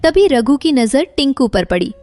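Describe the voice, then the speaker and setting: A woman's voice: high-pitched, big pitch swings, normal speed, a little mellow. A woman reading scripted narration for a moral story.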